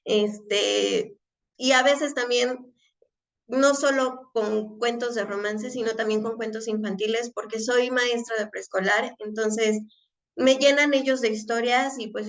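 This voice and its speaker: A woman's voice: fairly high pitch, fairly even intonation, measured pace. A woman with a Mexican accent, a guest author and preschool teacher introducing herself in a friendly way with fillers. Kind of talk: casual self-introduction.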